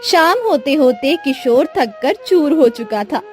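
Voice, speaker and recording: A woman narrates a moral story as a storyteller. Her voice is high and very slow, and music plays behind her scripted reading. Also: sing-song.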